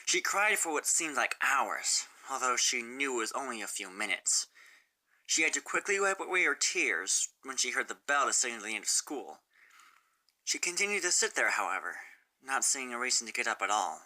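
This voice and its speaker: Very low-voiced woman narrating a story. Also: very animated, moderate pace, pushed and bright, poor audio. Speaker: narrator, fan fiction reader.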